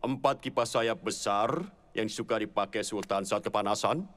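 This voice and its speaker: A man playing an obliging old prison warden in an animated cartoon. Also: on the low side, moderate pace, close to an everyday voice.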